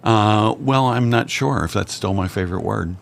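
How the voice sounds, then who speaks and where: A man's voice: fairly low pitch, normal speed, vocal fry. An older man chatting as a guest, with dry, wry humor and frequent hesitant restarts. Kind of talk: casual chat.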